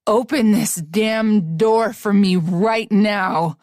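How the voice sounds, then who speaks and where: Woman doing a slow, raging drunk man. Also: fairly low pitch, dry recording, clean studio sound. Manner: slow, shouting, menacing. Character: drunk enraged young man, violent ex.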